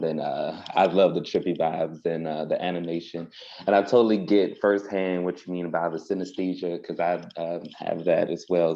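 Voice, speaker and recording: A man offering slow, hesitant praise on a call. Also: sits low, dark tone. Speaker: fellow guest. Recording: dull lo-fi call audio.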